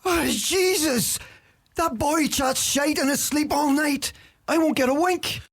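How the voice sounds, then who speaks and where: Very high-pitched, grumbling Donegal character woman acting. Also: unhurried. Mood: exasperated. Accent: Donegal Irish. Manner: blunt, salty, colloquial. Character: sharp-tongued, grumbling Donegal country character. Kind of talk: radio play acting.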